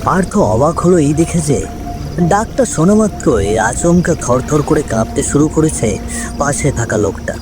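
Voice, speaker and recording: A man's voice: a little high, animated, a little slow, music in the background. A man narrating a scripted ghost story in a dramatic storytelling style.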